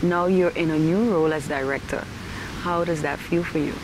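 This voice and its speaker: A woman's voice: low-pitched, fairly even intonation, moderate pace. A woman hosting a talk show, introducing and questioning in a conversational interview style. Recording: faint background noise.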